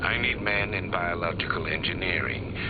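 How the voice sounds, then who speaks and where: Very deep, echoing supervillain. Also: male voice, dull audio, heavy background noise. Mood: cold, commanding. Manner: taunting, deliberate, ominous, drifting into whispers. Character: menacing male masked supervillain, sadistic master of fear. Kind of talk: theatrical video game villain monologue. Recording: thin band-limited audio, long hall reverb, loud music.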